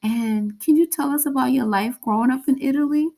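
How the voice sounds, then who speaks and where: A woman hosts a relaxed interview over a video call, with a noticeable fry at the bottom of her voice. She talks in a gushing, enthusiastic way about her guest. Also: high-pitched, a little fast, slight background noise. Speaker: podcast host. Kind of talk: casual interview chat.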